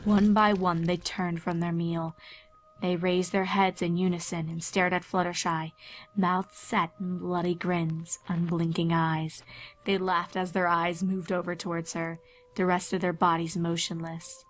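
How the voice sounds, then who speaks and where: A woman's voice: fairly low pitch, level tone, a little slow. A woman reading a scripted horror story as a dramatic narrator. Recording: faint music behind narration. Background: faint music.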